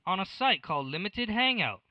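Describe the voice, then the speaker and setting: An earnest conspiracy believer phoning a radio show, played by a man, high-voiced and swooping as he insists. The sound is slightly dull and lo-fi. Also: very animated, fairly quick, no echo. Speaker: caller. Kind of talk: radio play phone-in. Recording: dull, lo-fi caller line.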